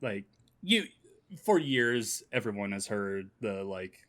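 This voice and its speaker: A man's voice: lowish voice, lively intonation, slow, fairly bright tone. A man chatting casually as a panelist on a podcast. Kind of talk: casual chat.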